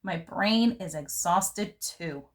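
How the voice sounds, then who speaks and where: A woman voicing a fancy, dramatic little girl who loves glamour, telling her story from a children's book in an expressive, theatrical way. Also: fairly low pitch, slow. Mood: exhausted, dramatic. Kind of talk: first-person storybook narration for children.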